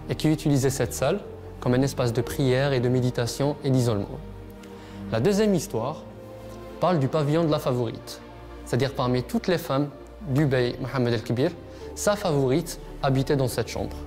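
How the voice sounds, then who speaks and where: A man's voice: medium pitch, normal speed, music in the background. A man guiding visitors through a palace, explaining its history in a storytelling way. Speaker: tour guide. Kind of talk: explaining on a guided tour.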